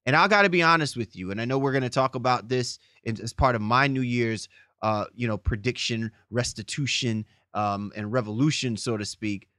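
A man's voice: mid-range voice, fairly quick, bright, forceful tone, no room sound, clean studio sound. A man co-hosting a sports podcast, giving emphatic, long-winded opinions in a discussion. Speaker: podcast co-host.

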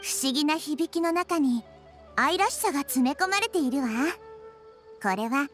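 A woman voicing a kind, wise child goddess in an anime-style game, gentle and composed as she explains things. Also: high voice, lively intonation, moderate pace, soft background music. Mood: kind, playful.

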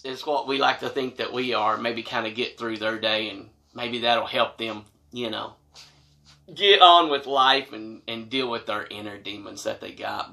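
A man's voice: medium pitch, gravelly, sharp tone. A middle-aged American man telling a personal story to camera in a casual, folksy and emphatic way. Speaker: storytelling vlogger. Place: small wood-paneled room indoors.

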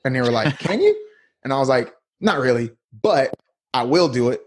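A man in his thirties talks through his career as a podcast guest, relaxed and full of slang. His voice has a slightly husky grain, sitting at an ordinary middle pitch. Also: moderate pace. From United States. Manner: laid-back, slangy storytelling. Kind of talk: casual interview talk.

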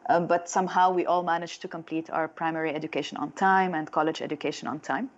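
A woman's voice: low voice, steady tone, fast, dull audio. A woman in her thirties from Lebanon, with a Lebanese accent, telling her own story as an interview guest. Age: 30s.

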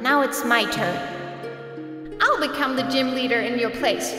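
High, earnest little granddaughter voiced by a woman. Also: a little slow. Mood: pleading, hopeful. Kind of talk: anime-style manga dub. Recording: echoey hall reverb under loud music.

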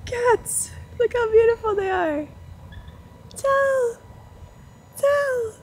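A woman's voice: extremely high pitch, very slow, slight background noise. A young woman travel vlogger chatting casually and expressively outdoors, with an enthusiastic manner. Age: young adult. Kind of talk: casual vlog chat. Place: outdoors in a hilltop town. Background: background music.

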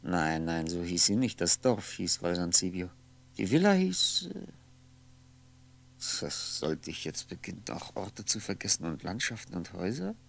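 A lonely man lost in his memories, thinking aloud in a 1960s radio drama. His voice is low and gravelly, with diction. He speaks in halting, introspective phrases, often correcting himself. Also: very animated, exaggerated voice. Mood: searching, hesitant. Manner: halting, introspective, self-correcting, deliberate articulation. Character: lonely, brooding man musing aloud over faded memories. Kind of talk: 1960s German radio play monologue.

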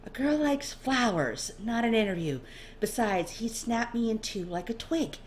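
A woman's voice: a little high, animated, a little slow, pitched above the performer's own voice. A nervous scribe acted by a woman reader, guarded and evasive, with a meek, hesitant delivery. The audiobook recording carries faint background noise. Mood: wry.

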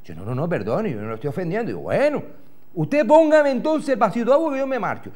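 Lively Canarian man telling a comic tale. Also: high voice, quick pace. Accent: Canarian Spanish. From Gran Canaria. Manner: rambling storyteller, swears, voices other people. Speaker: comic host. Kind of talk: comic monologue in character. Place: TV studio in front of a backdrop. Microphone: lapel.

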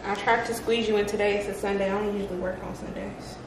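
A woman speaks casually at a medium pitch, blunt and sure of herself. She sounds a little off-mic in a small, echoey room. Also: flat delivery, fast. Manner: blunt, self-assured. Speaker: hairstylist and makeup artist. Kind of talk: casual chat. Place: small room indoors, off camera. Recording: distant echoey mic, clearly noisy room.

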